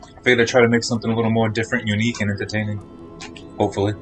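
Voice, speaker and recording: A man's voice: on the low side, flat delivery, racing, a bit bright, faint music behind. A man chatting casually on a live game stream, rambling to himself as he eats. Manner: rambling, talking to himself while eating.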